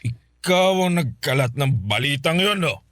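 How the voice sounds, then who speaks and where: An actor playing a harsh, threatening middle-aged uncle in a radio drama, who interrogates and barks orders. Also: male voice, fairly high pitch, expressive, a little slow, fairly bright tone, no room sound, studio quality. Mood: accusing. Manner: bullying, interrogating, barking orders. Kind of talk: classic radio drama acting.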